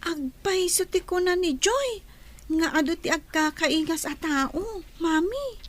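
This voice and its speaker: A woman's voice: extremely high pitch, animated, takes it slowly, exaggerated voice. A woman voicing a curious, chatty, innocent young child in a radio drama. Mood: inquisitive.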